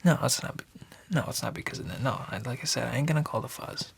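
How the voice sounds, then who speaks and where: A man playing a chatty, nervous bartender in an ASMR noir roleplay, speaking softly and often in whispers with tough-guy slang. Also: mid-range voice, fast talker, vocal fry, breathy edge.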